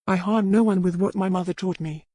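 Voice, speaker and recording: A woman's voice: lowish voice, steady tone, a little fast, warm tone, dry recording, studio quality, lightly acted. A woman voicing a wronged, defiant old healer woman in an audiobook historical tale.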